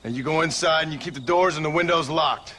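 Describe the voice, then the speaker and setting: An actor playing a protective frontier rancher and ex-outlaw with a rural American Western drawl and a plainspoken, commanding manner. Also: male voice, fairly high pitch, quick pace, bright, forceful tone, a little hiss or hum. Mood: grave, firm. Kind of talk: video game voice acting, Western drama.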